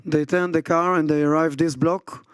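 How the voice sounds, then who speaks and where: A man's voice: on the high side, little pitch movement, moderate pace, clean tone, no echo. Man in simple, broken English. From Israel. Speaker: speaker at a public event. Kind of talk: telling a personal story in simple English.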